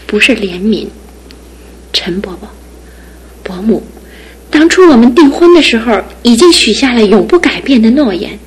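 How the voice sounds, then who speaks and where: A breathy, devoted young fiancée. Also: female voice, fairly high pitch, very animated, moderate pace, pauses often, heavily acted, rough recording. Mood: earnest. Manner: earnest, softly insistent. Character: devoted young fiancée, gentle but resolute. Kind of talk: studio radio drama acting.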